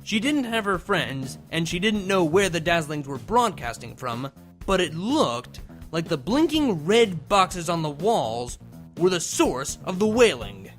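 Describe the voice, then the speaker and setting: A male narrator with a high voice reads a comedic story aloud. His delivery is theatrical and mock-dramatic, with lively swings in pitch. Soft music plays behind him. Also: measured pace. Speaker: fan fiction reader, channel host.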